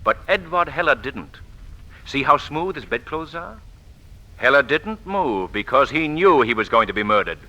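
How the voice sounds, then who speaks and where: Self-assured male avenger, incisive and very sharp, dramatic rises and falls, declamatory, old-time thriller, faint recording noise. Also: mid-range voice, big pitch swings, moderate pace, poor audio. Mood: commanding. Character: commanding, self-assured gentleman sleuth and mysterious avenger. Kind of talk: 1940s radio acting.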